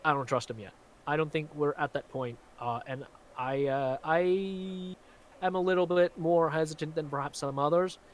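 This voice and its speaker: A man's voice: on the high side, steady tone, moderate pace, a little hiss or hum. A man with a faint Glaswegian accent blended with American speech, a magician chatting casually on a live stream with lots of fillers. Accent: faint Glaswegian mixed with American. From Scotland. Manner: chatty, rambling, many fillers.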